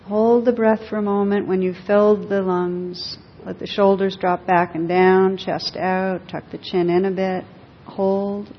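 Female meditation teacher, very slow and gentle, slightly low register; long hall reverb on the recording. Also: flat delivery. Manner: gentle, unhurried, with long pauses between short phrases. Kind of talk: guided meditation to a group. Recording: dull echoing hall recording, faint rumbling noise.

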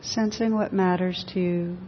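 Female meditation teacher, very slow and gentle, slightly low register; long hall reverb on the recording. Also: fairly even intonation. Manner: gentle, unhurried, with long pauses between short phrases. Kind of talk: guided meditation to a group. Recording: dull echoing hall recording, faint rumbling noise.

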